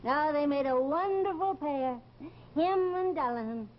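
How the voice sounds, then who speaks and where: A woman's voice: high voice, lively intonation, measured pace. An older woman playing a folksy, gossipy landlady and former stage fortune teller in a television drama, with theatrical exclamations. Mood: eager, confiding. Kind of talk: 1950s television drama acting. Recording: dull, noisy old TV soundtrack.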